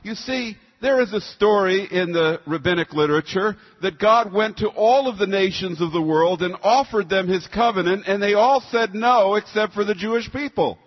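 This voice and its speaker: A man's voice: sits high, normal speed, hardly any pauses, breathy edge. A man preaching a sermon with scripture readings, speaking in an emphatic, expository and rhetorical manner. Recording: muffled sound in a long-reverb hall.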